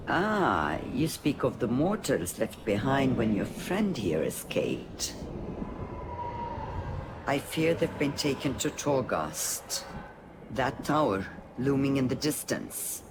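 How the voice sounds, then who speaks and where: An actor voicing a sly, haughty otherworldly broker in a video game, formal and coolly condescending. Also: male voice, medium pitch, wildly swooping pitch, slow, full character voice. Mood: cool, pragmatic. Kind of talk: video game character dialogue. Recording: game audio with background ambience noise.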